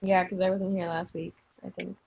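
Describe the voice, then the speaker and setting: A woman's voice: fairly low pitch, flat delivery, moderate pace, gravelly, a little mellow, slightly rough. A woman chatting casually as a member of a podcast cast. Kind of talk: casual chat. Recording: muffled, dull lo-fi podcast audio.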